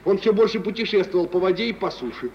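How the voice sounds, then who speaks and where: An actor playing a jovial, worldly merchant prankster with showy mock ceremony, in old Russian stage-comedy style. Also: male voice, high-pitched, fast, far from the mic, close to an everyday voice. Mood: mock ceremonious. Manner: theatrical, playfully ceremonious. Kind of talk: 1940s Russian stage comedy acting for radio. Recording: noisy, muffled mid-century radio play recording.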